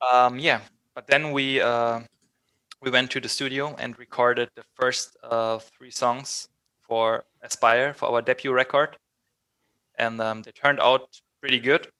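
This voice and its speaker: A man's voice: mid-range voice, level tone, measured pace, bright, forceful tone, clear, resonant tone, no room sound. A man with a German accent telling his band's story in a casual interview, hesitant and full of fillers. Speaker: band member being interviewed.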